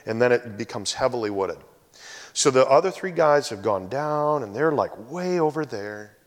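Animated male preacher in a reverberant hall. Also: medium pitch. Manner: animated storytelling, loud shouted exclamations. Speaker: pastor. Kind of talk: sermon with personal story.

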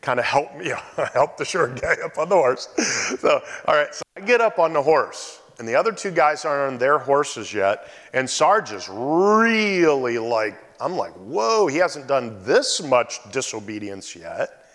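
An animated male pastor preaching in a church sanctuary, his pitch rising and falling with lively expression. His voice carries a long hall reverb, and now and then he lets out big loud calls. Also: a little slow. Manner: animated storytelling, loud shouted exclamations. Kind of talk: sermon with personal story.

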